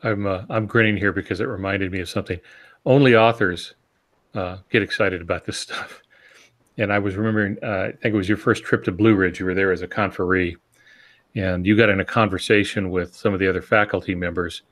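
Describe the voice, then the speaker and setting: Low-pitched man chatting on a podcast. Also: fairly even intonation, fairly quick, fairly bright tone. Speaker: podcast co-host. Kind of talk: casual conversational podcast talk.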